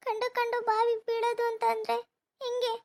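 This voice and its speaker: A woman's voice: very high voice, completely flat delivery, moderate pace, a little mellow, slight background noise, voice pushed up. A woman voicing a worried middle-aged mother who nags and pleads with her grown son, in a home-made cartoon. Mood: tearful lament. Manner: nagging, pleading, lamenting.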